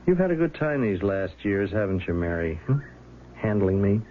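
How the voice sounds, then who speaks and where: An actor playing a scheming, bitter husband who has turned blackmailer, sardonic and falsely easygoing, in 1970s radio drama style. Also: male voice, on the low side, very animated, moderate pace. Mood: menacing, taunting. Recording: narrowband, noisy old radio broadcast recording.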